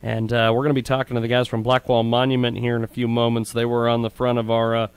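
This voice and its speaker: A man hosting a live radio show, introducing guests and segments with frequent pauses. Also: medium pitch, monotone, clean tone, no room sound.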